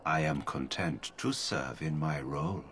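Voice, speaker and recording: A very deep, emotionless mage. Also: male voice, measured pace, a little hiss or hum. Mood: detached. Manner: flat, calm, formal. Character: emotionless male mage stripped of feeling. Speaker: game character.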